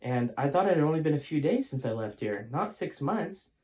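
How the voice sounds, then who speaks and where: A man's voice: on the high side, big pitch swings, brisk, darkish tone, pitched above the performer's own voice. A man voicing a determined, anxious father and time traveller in a storybook, earnest and emphatic.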